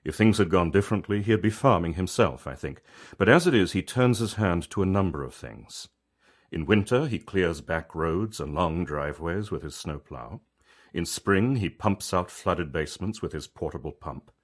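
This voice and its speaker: Male author-narrator, low voice, reflective conversational storytelling, measured delivery. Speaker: author reading own story.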